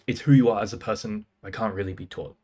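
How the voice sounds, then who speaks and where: A young man, his voice sitting a little low. He talks straight to camera in a casual, self-assured way, firing off short punchy phrases and slang. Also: darkish tone, breathy edge. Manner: fast, punchy, confident delivery with slang. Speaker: music producer and sales coach. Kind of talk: casual talking-head advice.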